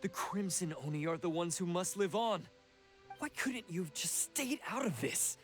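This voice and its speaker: A man's voice: high voice, lively intonation, normal speed. A man voicing a bitter, proud young male blue oni outlaw in an anime game, scornful, sardonic and intense. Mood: resentful, resolute. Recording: music under the voice.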